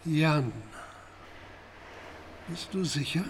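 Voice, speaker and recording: A concerned questioner in a radio crime play, his voice fairly high. He probes gently with short, careful questions, with faint ambience around him. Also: very slow, slight background noise. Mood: concerned, probing. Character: concerned, gently probing male questioner taking testimony. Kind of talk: radio crime drama acting.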